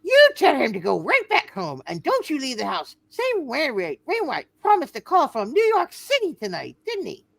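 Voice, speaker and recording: A woman's voice: huge pitch swings, moderate pace, vocal fry, hardly any pauses, dry recording, heavily acted. A woman playing a nagging, bossy mother in a hammed-up amateur radio play reading. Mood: scolding, suspicious. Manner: shrill, bossy, scolding. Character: nagging, disapproving mother of a grown daughter.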